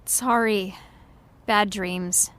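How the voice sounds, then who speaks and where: A woman's voice: mid-range voice, fairly even intonation, extremely slow, fairly bright tone. A woman playing a flustered, indignant young actress with an emphatic, exasperated manner, in a naturalistic audio comedy-drama. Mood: evasive.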